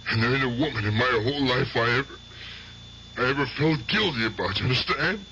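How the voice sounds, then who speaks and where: An actor playing an aging, haunted gangster, a doting uncle with streetwise, ungrammatical, halting talk, in a radio drama. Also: male voice, medium pitch, lively intonation, sharp tone, dull audio. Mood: agitated, insistent. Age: older. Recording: noisy radio drama recording.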